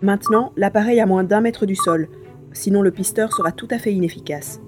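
A woman playing a determined, curious young hero in a science fiction audio drama, expressive and thinking aloud. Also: medium pitch, brisk, loud music behind the voice, lightly acted.

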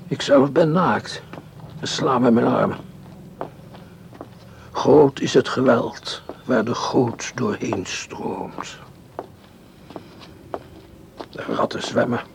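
Creaky voiced shady doctor in an old radio thriller, drags his words, dark musing, bit of hiss. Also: medium pitch, takes it slowly, long pauses. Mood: dark, haunted. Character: scheming, cynical educated male doctor turned robber, musing darkly. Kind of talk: radio play acting with inner monologue.

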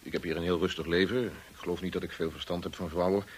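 An actor in a Dutch radio drama playing a kind, discreet and loyal estate manager, courteous and measured in his speech. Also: sits low, fairly even intonation, a little hiss or hum, natural voice. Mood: kind, reassuring.

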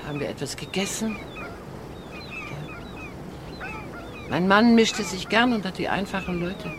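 Casting: older well-travelled lady, radio drama; reflective, teasing, expressive melody over noisy ambience. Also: lowish voice. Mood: wistful, reminiscing. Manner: formal, reflective, gently teasing. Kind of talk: German radio drama acting. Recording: clear background ambience noise.

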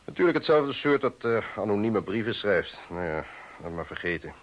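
Low-voiced, self-assured politician. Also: male voice, big pitch swings. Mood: dismissive. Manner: brisk, self-assured, dictating to staff. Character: busy, dismissive upper-class male politician. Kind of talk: radio play acting. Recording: dull old radio drama recording.

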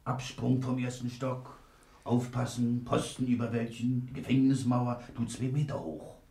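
An actor playing a gruff, impatient burglar plotting a jailbreak, blunt and conspiratorial, with a gravelly voice, in a 1960s radio crime drama, heard echoey and at a distance. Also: male voice, medium pitch, flat delivery, moderate pace, mellow, natural voice. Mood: urgent, scheming.

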